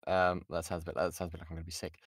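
A man's voice: low-pitched, monotone, rapid-fire, dry recording. A British man giving an informal lesson as a voice actor, chatty and jokey, often interrupting himself, speaking close to the mic on an online call. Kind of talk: informal lesson with audience questions.

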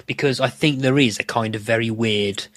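A man's voice: medium pitch, normal speed, a bit bright, no echo, studio quality. A British man hosting a podcast, casually discussing a television episode. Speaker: podcast host.

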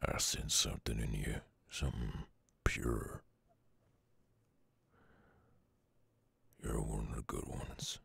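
A man playing a weary, centuries-old werewolf with a gruff Southern drawl, halting and tender in an intimate ASMR roleplay. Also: rumbling low, fairly bright tone, no echo, exaggerated voice. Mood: hesitant, tender. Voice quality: very gravelly, husky growl. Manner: halting, brooding drawl with long pauses. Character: gruff weary three-hundred-year-old male werewolf, guarded yet tender.

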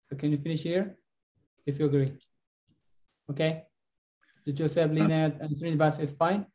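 A man in his thirties hosting an online pitch event as moderator, speaking over a video call. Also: level tone, normal speed, mellow. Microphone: video call microphone.

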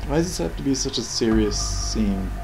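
A man's voice: medium pitch, lively intonation, normal speed, vocal fry, poor audio. A man giving casual, rambling, critical off-the-cuff commentary on video game cutscenes. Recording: game soundtrack playing behind. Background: video game cutscene audio and music.

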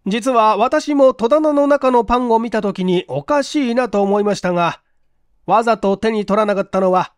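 Man as earnest police chief, high-pitched male voice, courteous, explanatory, dry sound, audiobook drama. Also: dry recording. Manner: polite, measured, explanatory.

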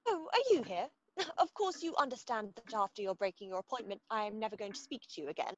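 Woman playing a lively, pert society girl. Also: mid-range voice, quick pace, a little hiss or hum, close to an everyday voice. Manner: coquettish, mock-haughty, playful. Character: pert, teasing young English society lady. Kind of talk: radio play acting. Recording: dull, lo-fi home recording.

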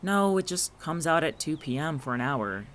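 A man's voice: fairly high pitch, lively intonation, normal speed, fairly bright tone, a little hiss or hum. Man acting a dry, exasperated, guarded friend, outdoor-like ambience. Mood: guarded, cautious. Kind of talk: naturalistic audio drama dialogue.

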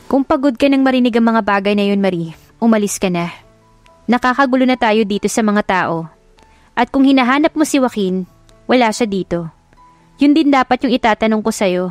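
A woman's voice: a little high, a little fast, pauses often, dry recording, soft background music, lightly acted. Devoted young romance heroine, played by a woman narrator, brave, earnest, emphatic, dry close sound, audiobook drama. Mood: weary, firm. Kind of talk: dramatic audiobook character acting.

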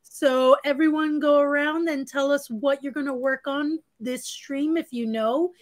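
Woman, high voice, dry sound, live-stream host, chatty, laughs often, webcam on a video call. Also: steady tone, unhurried, no room sound. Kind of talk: casual live-stream chat, reading out comments.

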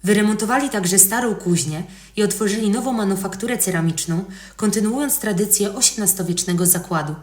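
A woman's voice: medium pitch, brisk, hardly any pauses, darkish tone. A woman reading scripted historical narration as a podcast narrator. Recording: roomy, echoing voice recording.

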